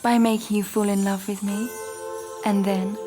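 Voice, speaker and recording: A woman acting a young period-drama temptress with a medium-pitched voice. She is poised and provocative, deliberately teasing with cool cruelty, and music plays clearly underneath. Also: flat delivery, close to an everyday voice.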